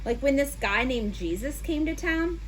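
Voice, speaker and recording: A woman's voice: sits high, lively intonation, normal speed, a little hiss or hum. A woman voicing a stubborn, huffy young donkey in a children's storybook, complaining in an exaggerated, indignant way. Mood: defensive. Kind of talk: children's storybook read-aloud.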